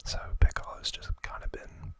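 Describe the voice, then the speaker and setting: A man whispering an ASMR recap in a breathy, unvoiced voice, explaining things in a hesitant, self-correcting way. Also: normal speed, no room sound. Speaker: host of an ASMR trivia channel.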